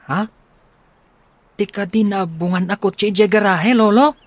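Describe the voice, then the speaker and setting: A man's voice: high voice, measured pace, dry recording. A young man playing an eager, respectful teenage grandson in a radio drama.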